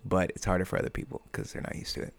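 An American man talking casually as a podcast guest, with informal, laid-back phrasing. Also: very fast. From United States. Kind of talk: casual conversational interview.

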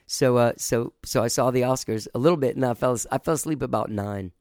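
A man hosting a casual local radio show, hoarse from rehearsing and singing, rambling enthusiastically with lots of fillers and restarts. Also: medium pitch, brisk, darkish tone, dry recording, clean studio sound. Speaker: show host.

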